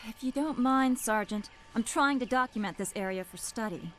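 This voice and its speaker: A woman's voice: on the high side, normal speed, fairly bright tone, slight background noise, lightly acted. A woman playing a proud, brave professor in a video game cutscene. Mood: irritated.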